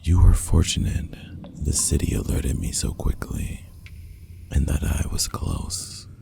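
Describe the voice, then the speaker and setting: A man playing a stern, possessive ruler of an underground city in a fantasy ASMR roleplay, speaking formally in a gravelly, hushed half-whisper. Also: rumbling low, fairly even intonation, exaggerated voice. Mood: curious, musing. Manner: formal, archaic, commanding, measured.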